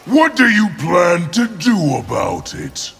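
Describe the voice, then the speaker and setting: A man's voice: sits high, sing-song, slow pace, creaky, full character voice. A man playing a defiant, angry warrior in a fighting game, blunt and hostile. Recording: long reverb, loud background noise.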